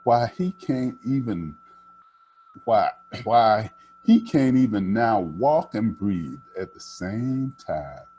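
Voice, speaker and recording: A man's voice: lowish voice, very animated, slow, mellow, husky, faint music behind, heavily acted. A man voicing a sassy, scornful young girl in a storybook, with exclamatory folksy talk.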